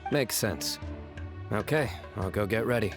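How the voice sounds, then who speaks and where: Game casting: serious loner lawman, low voice, restrained, formal delivery, faint music behind. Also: lively intonation, unhurried. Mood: guarded. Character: stoic, reserved young male lawman, serious loner. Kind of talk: video game voice acting.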